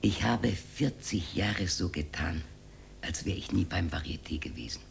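An actress playing a proud, aggrieved old cleaning woman with an Austrian accent and a husky, cracked voice in a radio drama. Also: a bass voice, measured pace, slight background noise, full character voice. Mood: wistful. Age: older. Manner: emotional, rambling, swinging from mutter to outcry.

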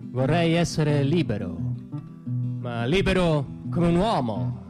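A man's voice: on the high side, very animated. Male monologist, conversational and ironic, very varied melody, hesitant delivery, faint music behind. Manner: hesitant, ironic, with frequent pauses and asides. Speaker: stage monologist. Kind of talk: conversational satirical theatre monologue.

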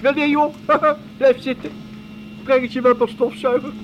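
An actor playing an eccentric, kindly older inventor professor in a children's audio drama, stammering, chuckling and repeating himself absent-mindedly. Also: male voice, very high-pitched, flat delivery, normal speed, muffled recording, noisy background. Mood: excited, delighted.

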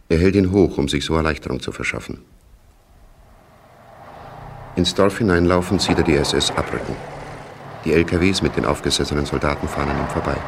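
Male radio-play narrator, exceptionally low voice, scripted delivery. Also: a little hiss or hum.

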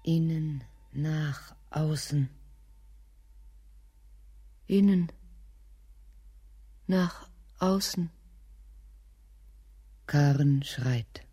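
A woman playing a grave, detached narrator in a radio drama, measured and deadpan, speaking in fragments. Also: sits low, slightly rough, heavily acted. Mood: grave, intense. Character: grave, detached narrator reciting scene and inner fury.

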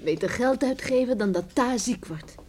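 An actress in a radio play playing a hopeful young farmer's daughter, eager and earnest in manner. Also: female voice, fairly high pitch, lively intonation, moderate pace, a little mellow, close to an everyday voice. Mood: hopeful, coaxing. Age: young adult. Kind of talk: Dutch radio play acting. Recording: noisy old radio play recording.

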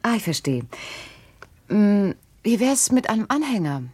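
A courteous boutique saleswoman in a radio crime play. Her pitch moves a lot, the voice is a touch husky and the sound is dry and close. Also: sing-song, measured pace, darkish tone, no room sound. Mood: courteous, helpful. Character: polite, formal female boutique saleswoman. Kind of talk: German radio crime drama acting.

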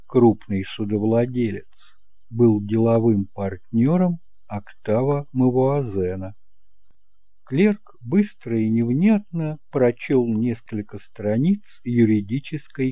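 A man's voice: mid-range voice, no echo, lo-fi sound. A man reading scripted dramatic narration for an audiobook. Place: close, dry home recording.

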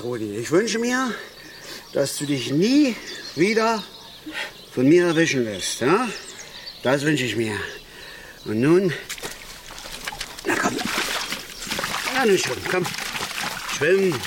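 A gruff angler father in his middle years for a naturalistic radio play. His pitch moves around a lot as he grumbles in halting, colloquial phrases with a soft heart underneath. Outdoor ambience with birds is clearly heard around him. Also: very animated, background noise. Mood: reluctant, tender. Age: middle-aged. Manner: halting, colloquial, repeated tag questions. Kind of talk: naturalistic radio drama acting.

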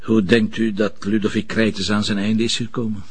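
An actor playing a gruff, stubborn police commissioner in a TV drama, with a Flemish Belgian accent and a gravelly voice. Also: male voice, lowish voice, level tone, normal speed. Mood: probing, calm. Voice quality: gravelly, husky. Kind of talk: naturalistic TV drama acting.

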